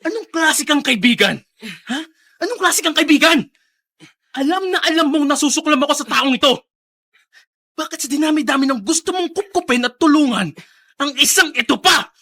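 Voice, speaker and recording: A man's voice: very high voice, expressive, normal speed, long pauses, bright, forceful tone, exaggerated voice. A Filipino actor playing a hot-tempered, bitter young man in a radio drama, brash and emphatic. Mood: furious, accusing.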